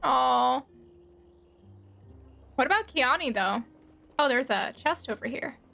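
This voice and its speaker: A woman's voice: high-pitched, animated, slow pace, vocal fry. A woman streamer giving casual, sympathetic, cooing reactions to a game. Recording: soft game music behind.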